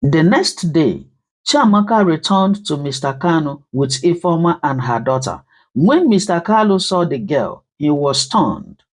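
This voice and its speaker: A dramatic storyteller narrates a scripted folktale. The voice sits at a middle pitch and swings expressively up and down. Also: big pitch swings, a little slow.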